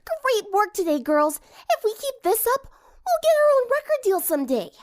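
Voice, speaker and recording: Very high, excitable teenage girl. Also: moderate pace. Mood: excited, boastful. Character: brash, excitable teenage cartoon girl bandmate.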